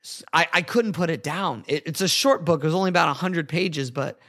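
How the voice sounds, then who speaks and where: A man hosting a solo podcast, his voice hoarse and raspy as it slowly comes back. He talks in an earnest, chatty way and corrects himself mid-sentence. His voice sits a little high for a man. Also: sharp tone. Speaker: podcast host.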